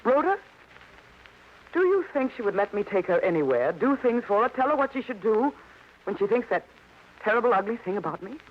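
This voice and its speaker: A defensive, controlling wife in a vintage radio melodrama. She is intense and insistent, with a high voice that swings dramatically. The old recording sounds muffled. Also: sing-song, a little fast, pauses often, slight background noise. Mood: anguished, indignant. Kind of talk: old-time American radio melodrama acting.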